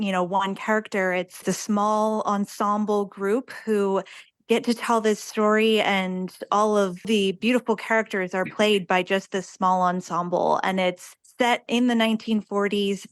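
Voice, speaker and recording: A woman's voice: medium pitch, fairly even intonation, measured pace, clear, resonant tone, no room sound. Earnest woman in a dry studio interview. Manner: effusive, earnest. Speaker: theatre director, interview guest. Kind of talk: conversational radio interview.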